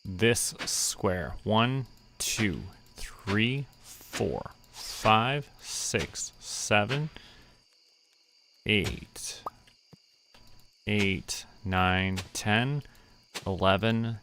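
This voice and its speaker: Slow, low-voiced man muttering while gaming. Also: animated, bright, forceful tone, slight background noise. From Canada. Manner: muttering to himself, counting aloud. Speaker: streamer. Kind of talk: relaxed gameplay commentary.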